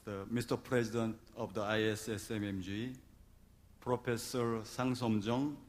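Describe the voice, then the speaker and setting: A man delivering a formal opening address as a conference organizer, speaking deliberately phrase by phrase. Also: fairly low pitch, steady tone. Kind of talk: formal ceremonial speech.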